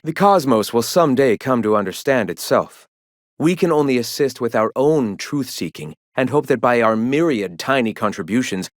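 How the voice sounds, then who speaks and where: Pompous, serene cult leader for a game, played by a man: male, mid-pitched, theatrical and preacherly, with highly animated intonation, recorded dry. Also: dry recording, lightly acted. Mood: earnest, preaching. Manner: lofty, preacherly, theatrical, formal diction. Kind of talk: video game character dialogue acting.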